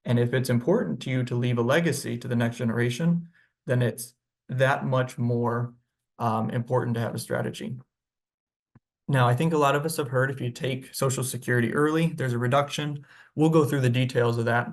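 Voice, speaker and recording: Male financial advisor, mid-range voice, relaxed explainer style with fillers. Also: mid-range voice, creaky. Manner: explanatory, conversational with frequent "um". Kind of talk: webinar presentation.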